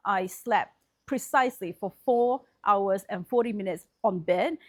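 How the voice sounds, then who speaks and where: A woman's voice: medium pitch, unhurried, darkish tone. A woman in her forties, born in Malaysia with Chinese as her first language, talking frankly and casually to camera as a property and wealth vlogger. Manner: frank and conversational, thinking aloud.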